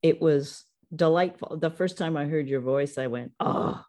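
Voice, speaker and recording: A woman's voice: low-pitched, moderate pace, dark tone. A woman hosting a podcast and interviewing a guest, effusive and full of praise. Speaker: podcast host and producer. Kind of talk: casual interview.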